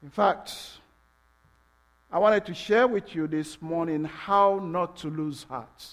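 A man from Togo with a French-English accent preaching a sermon in a church, halting with fillers, then building to passionate emphasis. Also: fairly high pitch, fairly bright tone. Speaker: pastor. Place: church sanctuary.

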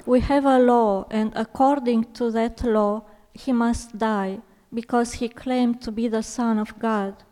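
A woman's voice: on the high side, steady tone, takes it slowly, warm tone. A woman playing a stern, accusing religious elder in a scripture drama, declaiming insistently. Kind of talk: dramatised scripture audio acting. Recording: long hall reverb.

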